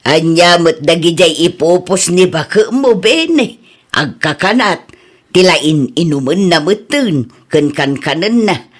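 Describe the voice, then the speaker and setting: An actress with an Ilocano accent playing a gossipy but good-hearted sister-in-law in radio drama style. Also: female voice, on the low side, expressive, takes it slowly. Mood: amused, gossipy.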